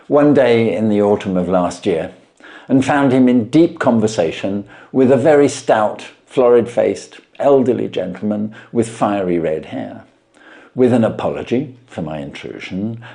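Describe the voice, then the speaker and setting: A man narrating a classic short story as an audiobook, in an expressive, deliberate storytelling manner. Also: medium pitch. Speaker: reader of short stories.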